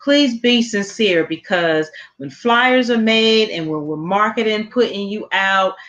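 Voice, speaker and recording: A podcast co-host with a slightly raspy, tired voice, making casual show announcements in a halting way that repeats words. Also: mid-range voice, a bit bright, muffled recording.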